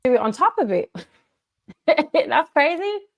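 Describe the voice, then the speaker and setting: A woman from the United Kingdom giving commentary in a measured, emphatic way, repeating her key phrases. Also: sits high, big pitch swings. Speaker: commentator in a played video. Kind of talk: monologue commentary.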